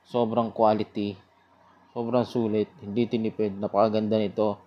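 A man giving a casual product review in an informal, sales-pitch manner. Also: level tone, moderate pace, lo-fi sound.

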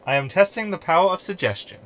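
A man's voice: high-pitched, very animated, fast talker, a bit bright, rough recording. A man playing a sarcastic, scheming hologram in a sci-fi comedy audio drama, wordy and mocking. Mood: defiant.

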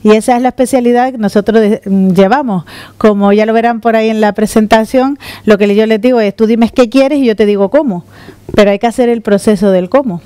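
A middle-aged woman from the Canary Islands giving a lively conference talk on stage with a handheld mic. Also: a little high, fairly quick, clean tone, no room sound. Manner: lively, gesturing while walking on stage. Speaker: conference speaker. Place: conference room with audience. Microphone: handheld microphone.

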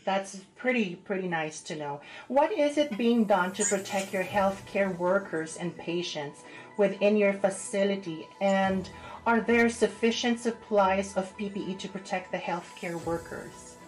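A woman's voice: mid-range voice, unhurried, soft background music, low-quality recording. A woman news anchor reading and interviewing in a formal broadcast style.

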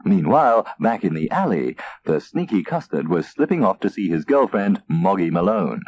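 Hugely animated male children's storyteller. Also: low voice, moderate pace. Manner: expressive, theatrical. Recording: dull tape transfer, faint noise.

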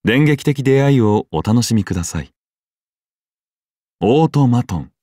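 Game sheep deity: solemn, polite deadpan with whimsical swings in intonation, dry close sound. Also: male voice, mid-range voice, measured pace, husky, dry recording. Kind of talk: scripted game character voice lines.

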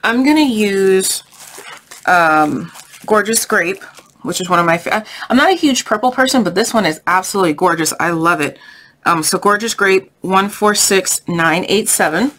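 A woman's voice: mid-range voice, expressive, moderate pace, fairly bright tone, slight background noise. A woman hosting a casual craft tutorial, chatty and thinking aloud, with a voice congested from a sinus infection. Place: indoors at a craft table.

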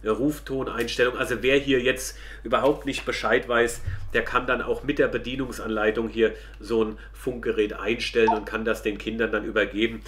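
A man's voice: mid-range voice, little pitch movement, moderate pace, a bit bright, a little hiss or hum. A man casually demonstrating a product as a reviewer, chatty and colloquial, speaking directly to viewers.

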